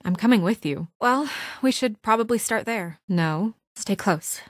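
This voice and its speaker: An actress playing a composed, dutiful and easily exasperated young woman in a video game, earnest and caring, with crisp, businesslike delivery. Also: medium pitch, lively intonation, unhurried, no room sound, natural voice. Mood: firm, guarded. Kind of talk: naturalistic video game voice acting. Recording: dry studio recording.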